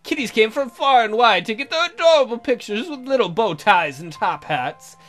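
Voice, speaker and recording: A man's voice: very high voice, lively intonation, normal speed, a bit bright, soft background music. A man hosting a gaming video, reading visual novel narration aloud in a theatrical storytelling manner.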